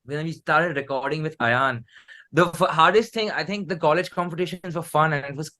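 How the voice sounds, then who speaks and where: A man's voice: on the high side, fairly quick, sharp tone. A man, a musician being interviewed, telling anecdotes in casual, informal chat with broken-off phrases. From Mumbai, India.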